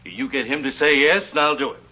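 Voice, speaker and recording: An actor as a sharp-voiced, pompous army general. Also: male voice, mid-range voice, fairly quick, muffled recording, close to an everyday voice. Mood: self-important. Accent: American. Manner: pompous, self-satisfied, blustery. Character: vain, pompous male army general, falsely modest. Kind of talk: vintage television western acting.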